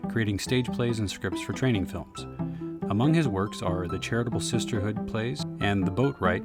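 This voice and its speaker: A man reading scripted introductory narration as an announcer for a play reading. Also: on the low side. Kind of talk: scripted narration, biography and cast list. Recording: plucked guitar music behind. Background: plucked guitar music.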